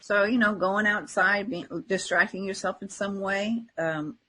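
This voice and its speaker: A woman with a low-clarity, distinctly husky voice speaks as a podcast co-host. Her delivery is casual and earnest, like an unscripted chat, and she often checks that her listener agrees. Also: fairly even intonation, unhurried, sharp tone.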